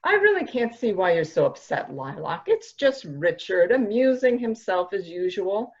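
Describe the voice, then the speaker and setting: A woman's voice: mid-range voice, sing-song, moderate pace, husky, dull audio. A woman acting a composed, skeptical member of a mansion household in a murder mystery reading. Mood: dismissive.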